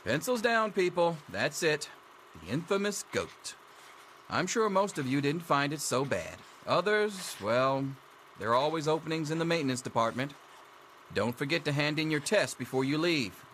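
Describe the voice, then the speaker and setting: Sarcastic game schoolteacher, dry as anything, really animated intonation, noisy background hum. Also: male voice, fairly high pitch, normal speed. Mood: dry, dismissive. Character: sardonic male vault schoolteacher giving an exam. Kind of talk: scripted video game character dialogue.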